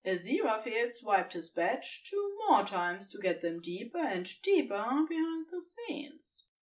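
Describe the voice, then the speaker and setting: A woman narrates a story in a fairly high, expressive voice with lively swings of pitch. She takes her time over the scripted prose and sounds a little way from the mic. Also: unhurried, recorded from a distance. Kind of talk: scripted fan fiction narration.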